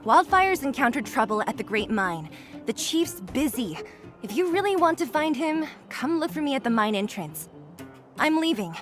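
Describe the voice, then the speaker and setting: A woman's voice: sits high, very animated, normal speed, soft background music. A woman playing a brash, scornful young female fighter from the underground in a video game, blunt and taunting. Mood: curt.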